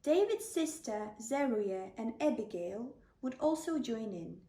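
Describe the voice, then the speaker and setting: Female bedtime storyteller, high and mellow, gentle deliberate delivery, roomy sound. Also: big pitch swings. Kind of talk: children's bedtime story narration. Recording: roomy, distant microphone.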